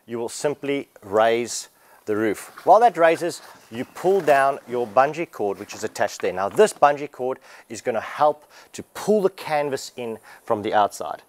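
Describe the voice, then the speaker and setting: A man's voice: mid-range voice, unhurried. Casual man demoing gear. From Australia. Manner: casual, explanatory, lively. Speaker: product presenter. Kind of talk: product walkthrough demo. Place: large warehouse showroom indoors.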